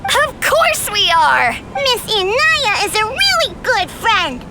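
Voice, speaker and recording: A woman voicing a sweet, earnest young theater dancer in a video game, in a gentle, heartfelt manner. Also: extremely high pitch, sing-song, slow pace, very noisy, full character voice. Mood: firm, heartfelt. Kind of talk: expressive video game voice acting.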